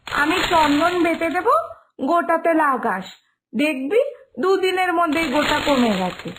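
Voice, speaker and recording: A woman voicing a sensible elder sister-in-law in a cartoon story, gently scolding and reassuring. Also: very high-pitched, background noise, full character voice. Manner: chiding, reassuring. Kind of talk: Bengali animated moral story dialogue. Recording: music behind the voice.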